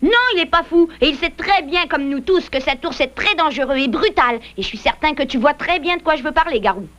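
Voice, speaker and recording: Young beaver hero: high, rapid, earnest and decisive cartoon voice, muffled VHS sound over music. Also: female voice, fairly bright tone. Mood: earnest, firm. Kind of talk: dubbed cartoon acting.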